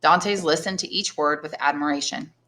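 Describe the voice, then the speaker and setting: A woman reading a novel aloud as a teacher would, in expressive, measured and carefully phrased narration. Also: sits low.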